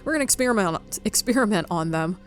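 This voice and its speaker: A woman's voice: medium pitch, lively intonation, very fast, soft background music. A woman giving casual playthrough commentary on a game, musing and thinking aloud a little hesitantly. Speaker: gaming commentator.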